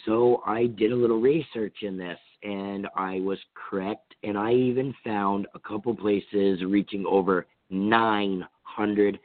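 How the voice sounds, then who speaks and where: A hoarse man with a cold from Vermont, hosting a call-in radio talk show in a rambling, casual way with many fillers and restarts. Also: on the low side, steady tone, unhurried, few pauses. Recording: tinny phone-line sound.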